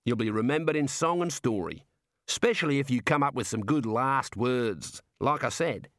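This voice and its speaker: A man's voice: medium pitch, sing-song, normal speed, a bit bright, dry recording, studio quality, voice pushed up. Man voicing a cheerful prison warder. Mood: chatty, encouraging. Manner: folksy colloquial Australian-style slang. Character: cheerful, matter-of-fact male prison warder, chatty and obliging. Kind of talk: comic audiobook character voice.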